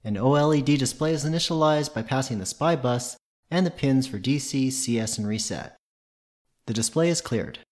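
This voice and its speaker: A man's voice: medium pitch, darkish tone. Methodical man narrating a technical tutorial. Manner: methodical, matter-of-fact. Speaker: tutorial presenter.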